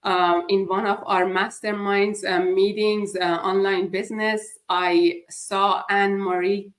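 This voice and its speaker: A woman's voice: mid-range voice, flat delivery. A middle-aged woman from Iran hosting a live chat, speaking hesitantly with many fillers. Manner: slow, hesitant, frequent fillers. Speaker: host.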